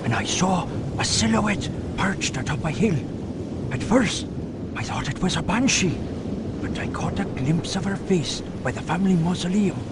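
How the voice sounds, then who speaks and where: An actor playing a fearful, superstitious groundskeeper, full of foreboding warnings in a game cutscene. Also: male voice, animated, normal speed. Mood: spooked, sorrowful. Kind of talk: dramatic game cutscene acting. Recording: noisy, rumbling stormy background.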